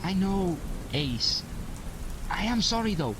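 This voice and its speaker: A performer playing an enigmatic, guilty time-travelling mentor in an audio drama, hesitant, measured and placating. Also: medium pitch, very animated, noisy background. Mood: apologetic, evasive.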